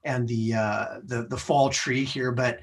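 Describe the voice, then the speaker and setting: A man's voice: medium pitch, steady tone, a little slow. A man with an American accent speaking as a podcast guest in a conversational, explanatory way, often adding small checking tags. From United States. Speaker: podcast guest, sales professional. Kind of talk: conversational interview, explaining career lessons.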